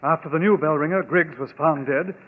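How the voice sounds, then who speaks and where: On a thin, muffled old radio recording, an anxious gentleman. He talks quickly and formally in a rather high voice, respectful and businesslike. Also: husky. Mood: anxious, urgent. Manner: hurried, formal. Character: anxious, respectful male abbey trustee, businesslike. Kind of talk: old-time radio drama acting.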